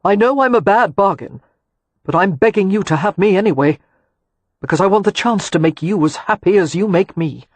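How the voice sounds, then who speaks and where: A woman voicing a glib but earnest young gentleman with a firm, raised, clipped voice. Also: fairly low pitch, animated, moderate pace, breathy edge, dry recording. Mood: pleading.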